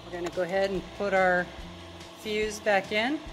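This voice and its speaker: A woman's voice: mid-range voice, steady tone, moderate pace, soft background music, low-quality recording. A middle-aged woman giving a casual, plainspoken, step-by-step tutorial as a DIY video host. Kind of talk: casual hands-on tutorial narration.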